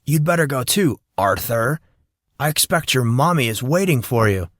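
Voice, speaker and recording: A man's voice: mid-range voice, expressive, normal speed, fairly bright tone, dry recording, clean studio sound. A man voicing a brash, teasing teenage boy in an audio drama, sarcastic and full of slangy banter. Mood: cocky teasing.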